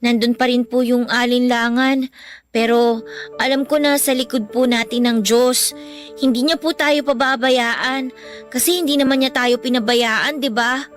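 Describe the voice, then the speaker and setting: An actress as a young Filipino daughter in a radio drama, with a high voice. She is polite, earnest and devoted, a little sulky, with music playing behind her. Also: fairly even intonation, measured pace, lightly acted.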